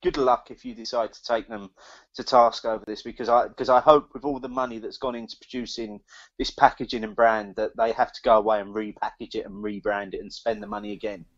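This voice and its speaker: A man's voice: on the low side, fairly quick, vocal fry, highs cut off. A British man co-hosting a casual podcast chat, speaking in an opinionated, emphatic way. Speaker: podcast co-host.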